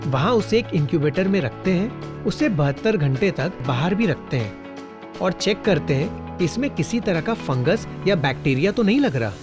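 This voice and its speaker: Male narrator for a conversational factory explainer, studio voice-over, clear music bed behind. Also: sits high, big pitch swings, moderate pace. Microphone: studio voice-over mic. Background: background music.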